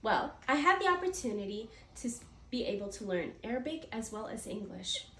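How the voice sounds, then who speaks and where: A woman's voice: a little high, big pitch swings, a little fast. A teenage girl from the United States giving a prepared class speech, earnest, with small slips and restarts. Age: teens. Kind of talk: prepared speech read aloud. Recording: echoey room, mic far off, faint noise.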